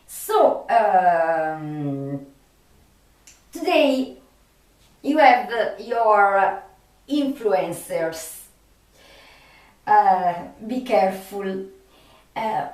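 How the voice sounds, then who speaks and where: A woman who is not a native English speaker, talking unscripted to viewers as a video host and searching haltingly for her words. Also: medium pitch, darkish tone. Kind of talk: unscripted talk to viewers about a favorite film. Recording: roomy echo, distant microphone.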